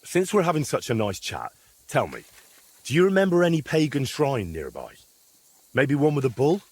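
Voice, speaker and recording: An actor playing an earnest young adventurer in a video game, polite but easily exasperated. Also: male voice, medium pitch, huge pitch swings, normal speed, breathy edge, dry recording. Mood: polite, inquiring. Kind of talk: video game dialogue acting.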